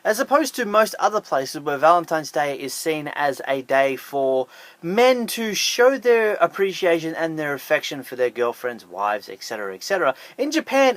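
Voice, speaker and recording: A man's voice: high voice, a bit bright, dry recording. A man hosting an online video, narrating a scripted countdown list in an upbeat, emphatic way with jokey asides. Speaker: YouTube host.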